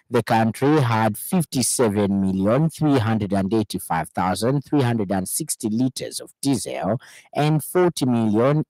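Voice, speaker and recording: Low-voiced Zambian man reading radio news. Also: a little mellow, no echo, poor audio. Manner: formal, measured delivery. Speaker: news reader. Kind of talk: scripted radio news bulletin.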